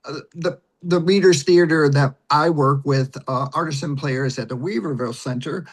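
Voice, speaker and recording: A man answering questions as an interview guest, explaining casually in halting, broken-up phrases. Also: a little high. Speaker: guest, community theater member.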